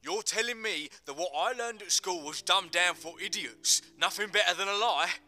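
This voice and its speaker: A man playing a menacing, domineering gangland boss, forceful and accusatory, in a dramatic screenplay reading. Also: high voice, normal speed, voice pushed up. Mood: angry, commanding.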